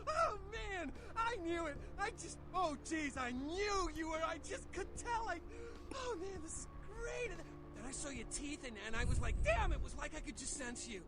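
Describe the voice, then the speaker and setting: An actor voicing an excitable, whiny young male vampire's servant in a video game, gushing and stammering in slangy speech. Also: very high voice, normal speed, few pauses. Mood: thrilled, gushing. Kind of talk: video game character dialogue. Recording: game music behind the voice.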